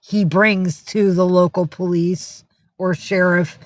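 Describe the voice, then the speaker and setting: A woman's voice: lowish voice, fairly even intonation, slow pace, no echo. Female livestreamer, casual and blunt, rambling delivery with loose swearing, recorded dry at home. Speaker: livestream host. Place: indoors at home with cats.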